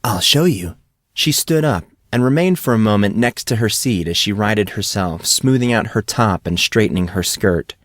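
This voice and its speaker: Man, audiobook narrator, scripted fantasy storytelling, low pitch, dry recording. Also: lively intonation, normal speed, few pauses, no room sound.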